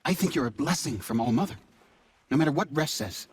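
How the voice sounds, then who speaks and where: A man acting a gentle, devoted tribesman in a video game, speaking with earnest reverence. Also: a little high, expressive, brisk, breathy edge. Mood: tender, earnest.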